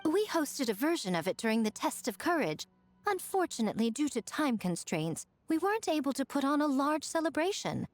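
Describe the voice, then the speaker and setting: Sly, lilting schemer. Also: female voice, high-pitched, big pitch swings, soft background music. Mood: persuasive. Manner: coaxing, playful, drawn-out phrasing. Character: sly, teasing, self-assured businesslike woman with silky persuasion. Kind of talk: animated video game dialogue.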